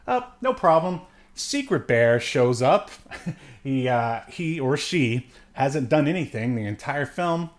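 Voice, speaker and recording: Male podcast co-host, mid-pitched, easygoing and joking, talking casually through a film. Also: expressive, slow. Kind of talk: casual movie recap chat.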